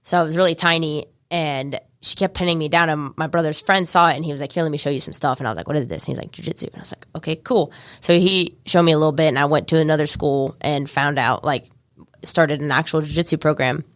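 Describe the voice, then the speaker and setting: A young woman with an American accent, a martial arts studio owner chatting as a radio guest in a studio, telling stories quickly. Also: low-pitched, no room sound. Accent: American, Georgia. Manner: chatty, quick storytelling with laughs. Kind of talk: casual interview chat.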